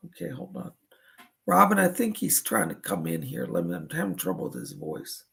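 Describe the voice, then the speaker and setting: A raspy man with a heavily gravelly, crackling edge to his voice, talking as a psychic medium during live readings. His pitch swings widely up and down as he chats along in a soothing, reassuring way. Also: huge pitch swings, normal speed, a bit bright.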